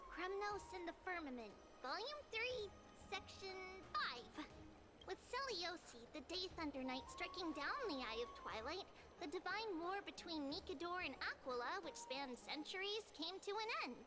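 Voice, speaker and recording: Very high, childlike ancient girl. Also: sing-song, moderate pace. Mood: thoughtful. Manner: speaks of herself as we. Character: Lady Treby, childlike ancient female legend-keeper, gentle and forgetful. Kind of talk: video game voice acting. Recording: game music playing behind the voice.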